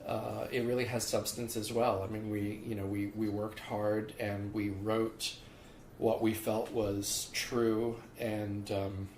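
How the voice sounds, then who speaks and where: A man with a fairly low voice talks thoughtfully and unhurriedly, pausing on fillers and restarting sentences. The room is echoey and there is a faint hiss underneath. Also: flat delivery, darkish tone. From born in South Africa, raised in Philadelphia. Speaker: musician guest. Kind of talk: casual interview talk.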